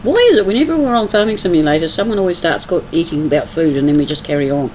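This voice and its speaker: A woman's voice: deep voice, lively intonation, rapid-fire, a little hiss or hum, low-quality recording. An older woman from New Zealand with a mixed Kiwi and English accent, chatting casually while she plays a game on a livestream. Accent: New Zealand with some English. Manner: chatty, teasing. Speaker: livestream host. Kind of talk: casual chat while gaming.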